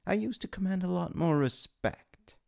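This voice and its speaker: A man's voice: high-pitched, normal speed, gravelly, darkish tone. A man playing an awkward, chatty demigod of reincarnation in a comedic audio roleplay, stammering and apologetic as he talks to the listener. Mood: mildly indignant, wheedling. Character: flustered, chatty male demigod in charge of reincarnation.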